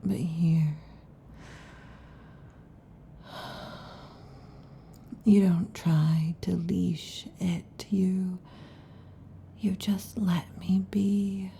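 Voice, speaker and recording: A woman voicing a restless, affectionate female lamia in an ASMR roleplay, whispering softly. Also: fairly low pitch, fairly even intonation, drawn-out words, gravelly, pauses often, slight background noise, heavily acted. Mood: whispery, intimate. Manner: soft intimate whispering. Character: restless affectionate female lamia, snake-tailed monster girl.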